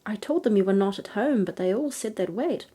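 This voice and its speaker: A woman's voice: medium pitch, lively intonation, brisk, warm tone, husky. A woman voicing a polite storybook parlour maid who speaks respectfully to royalty. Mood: deferential.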